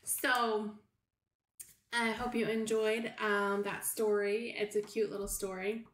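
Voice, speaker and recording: Slow-paced woman teaching preschoolers. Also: mid-range voice, little pitch movement, fairly bright tone, roomy sound. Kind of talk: read-aloud discussion aimed at young children.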